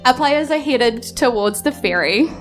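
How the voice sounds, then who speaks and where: A woman's voice: sits high, animated, measured pace. A woman with a New Zealand accent narrating as game master for a live tabletop game. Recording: music under a live stage recording.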